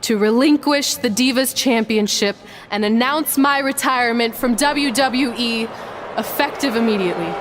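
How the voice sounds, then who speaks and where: An actress playing a seasoned champion wrestler in a video game, speaking in a measured, sincere, wistful way. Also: female voice, a little high, background noise, close to an everyday voice. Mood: reflective, resolved. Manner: measured, reflective, deliberate. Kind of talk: video game cutscene voice acting.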